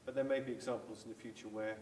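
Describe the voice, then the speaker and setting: Low-voiced man, distant and echoey. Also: steady tone, rapid-fire, darkish tone. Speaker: hospital clinician. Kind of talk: panel discussion. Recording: echoey room, distant mic, faint noise.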